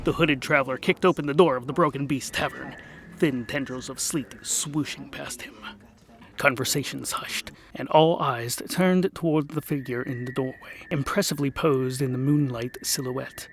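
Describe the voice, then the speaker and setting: A man's voice: mid-range voice, fairly even intonation, normal speed, low-quality recording. A man narrating a scripted comic fantasy tale in a theatrical, mock-epic manner with wry asides. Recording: voice over music. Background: music.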